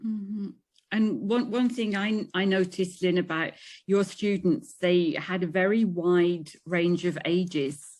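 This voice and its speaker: A British woman in her fifties speaks with a low, settled voice over a video call. She is chatty and relaxed, with plenty of ums and small laughs as she describes teaching at an engineering school. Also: slow pace. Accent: British English. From Britain. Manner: chatty, with fillers and light laughs.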